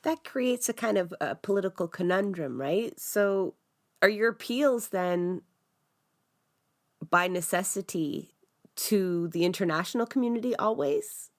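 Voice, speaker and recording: A woman's voice: mid-range voice, expressive, measured pace. A woman hosting an interview, asking questions in a conversational way and thinking aloud as she goes. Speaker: podcast host.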